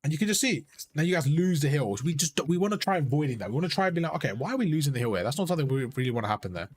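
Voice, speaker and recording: Young man, gaming coach, rapid casual chatter, slightly high pitch, voice worn out. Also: very animated, slightly rough. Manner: chatty, repeats phrases, addresses chat as bro. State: strained voice. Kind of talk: casual live-stream coaching.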